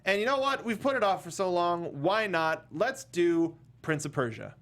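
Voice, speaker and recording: A man's voice: sits high, lively intonation, normal speed, a bit bright. A man hosting a casual panel chat, talking in a jokey, rambling way. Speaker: podcast host.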